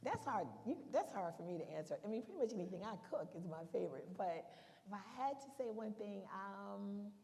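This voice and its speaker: A woman's voice: mid-range voice, sing-song, fairly quick, a little mellow. A woman on a panel, explaining things in a plain, easygoing way. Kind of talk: panel discussion. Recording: reverberant hall sound.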